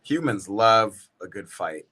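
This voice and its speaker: A man hosting a football watch-party stream, talking to his chat in a relaxed, slangy way. His voice sits a little low, and he sounds worn out. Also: slow pace. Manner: slangy and laid-back, calls viewers bro and y'all. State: tired. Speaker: livestream watch-party host. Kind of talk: casual livestream chat with viewers.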